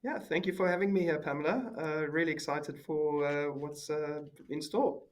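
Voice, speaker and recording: A man from South Africa sits in as a podcast guest and talks about his career in an easygoing, unhurried way. His voice sits a touch higher than most men's, and his sentences are loose and full of small fillers and restarts. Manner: relaxed, many fillers and restarts. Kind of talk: casual interview chat.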